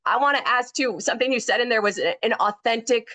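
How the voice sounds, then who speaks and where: A woman from Wisconsin hosting a casual celebrity interview, hoarse from allergies, bubbly and enthusiastic. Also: a little high, quick pace, sharp tone. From Wisconsin, USA. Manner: bubbly, enthusiastic, laughs often. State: hoarse, lost her voice from allergies. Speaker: TV host and interviewer.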